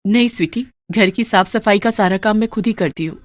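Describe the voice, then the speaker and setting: Storybook heroine: woman acting a dutiful young daughter-in-law, gentle, soft-spoken, dry sound. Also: mid-range voice, brisk, dry recording, close to an everyday voice. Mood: patient. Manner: soft, patient.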